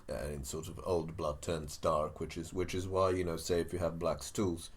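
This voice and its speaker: A man's voice: low-pitched, little pitch movement, normal speed, husky. A man co-hosting a podcast in casual chat, explaining things at length, hesitant with frequent restarts and dry jokes. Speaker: podcast co-host.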